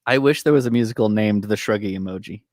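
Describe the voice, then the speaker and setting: A young man co-hosting a theatre podcast, chatting in an expressive, playful way on a video call. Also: on the low side, fast talker, background noise. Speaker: co-host of a musical theatre podcast. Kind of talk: casual, joking chat on a livestreamed quiz show. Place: indoor room, video call.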